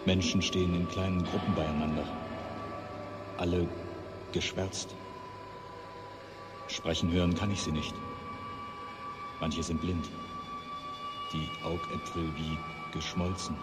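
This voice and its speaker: Deep slow reporter guy, quietly horrified, radio play, loud music under it. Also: wildly swooping pitch, exaggerated voice. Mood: horrified, weary. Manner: halting, subdued horror. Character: horrified male reporter witnessing burned, blinded survivors. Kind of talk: eyewitness report, radio drama acting.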